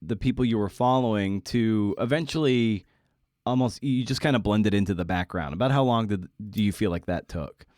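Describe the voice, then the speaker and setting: Low-voiced man hosting a dry-sounding podcast. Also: normal speed, darkish tone, no echo. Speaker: podcast host, interviewer. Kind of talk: casual conversational interview.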